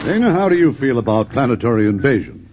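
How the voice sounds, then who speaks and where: A man hosting a 1950s radio theater show with a smooth, announcer-like delivery. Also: mid-range voice, sing-song, fast, a loud crowd, very noisy. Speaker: radio host. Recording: dull old radio broadcast.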